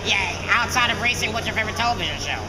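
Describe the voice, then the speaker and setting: A man interviewing a jockey outdoors at a racetrack, asking quick, friendly questions with fillers. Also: high-pitched, animated. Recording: loud outdoor traffic noise.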